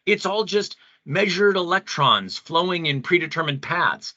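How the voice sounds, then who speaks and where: An actor playing an indignant, devout spiritual believer in an audio play debate, earnest and impassioned against machines. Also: male voice, a little high, expressive, a little slow, sharp tone, no echo, muffled recording. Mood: fervent.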